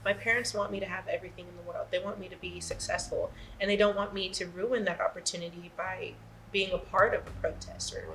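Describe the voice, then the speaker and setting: A woman's voice: fairly low pitch, level tone, fast talker, fairly bright tone, slight background noise, poor audio. A young woman, a student actor, giving earnest answers in an interview. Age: young adult.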